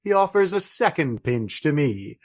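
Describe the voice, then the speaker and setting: A man playing an old friar in a radio play, speaking in formal, ornate, deliberate phrases with gallant fondness. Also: fairly high pitch, big pitch swings. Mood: fond, wry. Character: courtly old Trappist friar, fond, wry, gallant storyteller.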